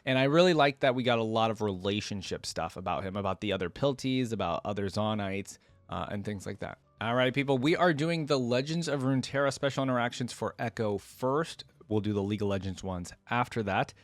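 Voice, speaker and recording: A man's voice: on the low side, brisk, dry recording. A man hosting a reaction channel, reading aloud and adding casual, conversational commentary. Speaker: host of a game lore reaction channel.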